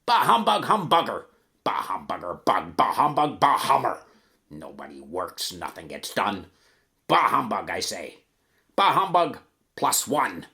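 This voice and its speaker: A man's voice: lowish voice, huge pitch swings, measured pace, vocal fry, sharp tone, pitched below the performer's own voice, exaggerated voice. Man acting a snarling, grouchy old miser. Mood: ranting. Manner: curt, snarling, dismissive. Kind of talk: rhyming verse storytelling.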